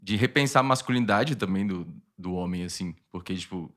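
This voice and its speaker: A Brazilian man in his thirties, a guest musician, talking casually in a relaxed, rambling way with many fillers and laughs. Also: deep voice, fairly quick. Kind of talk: casual interview chat.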